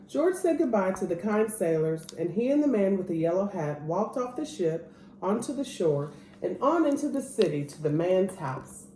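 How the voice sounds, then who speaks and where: A woman reads a picture book aloud to children with very animated intonation. Her voice is fairly high and a little mellow, recorded in an echoey room. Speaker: storyteller reading aloud. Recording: roomy echo, clear background noise.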